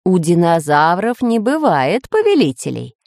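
A woman voicing a learned, composed male geographer, measured and matter-of-fact, in a children's storybook. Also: lowish voice, sing-song, darkish tone, no echo, studio quality. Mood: calm, firm.

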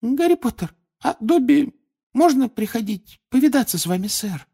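A storybook reader voicing a timid, devoted little male house-elf with a squeaky, breathy voice and halting, servile speech. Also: sing-song, warm tone, full character voice. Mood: grateful, eager. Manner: halting, servile, speaking of himself in third person.

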